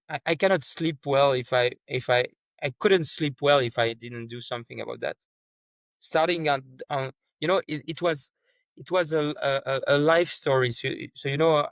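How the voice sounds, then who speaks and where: A man's voice: mid-range voice, a bit bright, dry recording. A man, a startup founder speaking earnestly as an interview guest, often repeating words and restarting his sentences. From France. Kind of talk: conversational interview.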